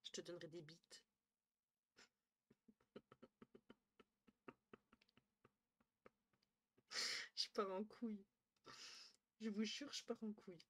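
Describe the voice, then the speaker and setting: A woman's voice: mid-range voice, very slow, pauses often, a bit bright. Tired, chatty young woman streaming at home. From northern France. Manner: chatty, swears casually, thinks aloud, drops to murmurs. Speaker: Twitch streamer. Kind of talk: casual live-stream chat with viewers. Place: small room at home, indoors. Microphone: headset.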